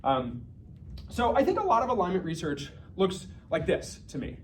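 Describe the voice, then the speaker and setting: A man's voice: high-pitched, wildly swooping pitch, moderate pace, a little hiss or hum. A man giving an informal research talk, thinking aloud conversationally with self-corrections and asides.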